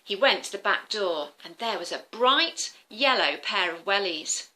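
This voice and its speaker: A woman telling a story to young children in an animated, interactive way, often turning to the listeners with questions and simple explanations. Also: mid-range voice, measured pace, rough recording. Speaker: children's storyteller, former teacher.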